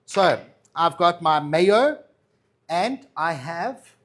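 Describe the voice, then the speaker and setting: A man's voice: on the high side, expressive, drawn-out words. A man with a South African accent, a chef chatting casually through a cooking demo and answering viewer questions. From Madeira, raised in South Africa. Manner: chatty, reassuring, tags lines with "all right?" and "yeah?".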